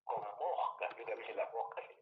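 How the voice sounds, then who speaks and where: A man with a Rioplatense Spanish accent, patiently teaching logic over a call and checking understanding with quick tag questions. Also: on the low side, roomy sound, narrow, tinny audio, a little hiss or hum. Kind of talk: tutoring lesson over a call.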